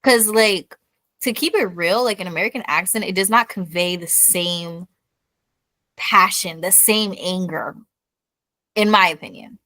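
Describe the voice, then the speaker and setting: A woman's voice: lowish voice, unhurried, sharp tone, no room sound. A young woman co-hosting a podcast in casual chat, laughing easily and swearing casually. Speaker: podcast co-host.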